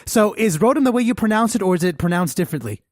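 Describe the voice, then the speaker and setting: A man's voice: high voice, expressive, quick pace, no echo, studio quality. A man hosting a podcast, interviewing casually in an effusive, informal way. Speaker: podcast host.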